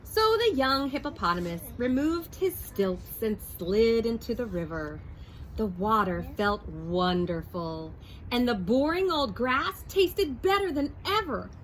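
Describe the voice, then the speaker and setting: A woman teacher reading a picture book aloud to her first graders, in a slow, expressive storytelling voice. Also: a little high, a little hiss or hum, poor audio.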